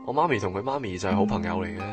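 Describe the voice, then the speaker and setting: A man's voice: fairly low pitch, animated, racing, over loud music, lightly acted. A man acting a proud, jealous young office worker in a Cantonese radio drama, with a Hong Kong accent and a grumbling, boastful way of talking. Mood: bitter, sulky.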